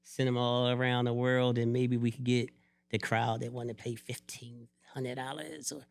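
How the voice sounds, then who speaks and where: A man co-hosting a podcast in casual chat, animated and joking, ranting and teasing his co-host. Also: medium pitch, normal speed, vocal fry, dry recording. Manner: animated, joking, rants and teases.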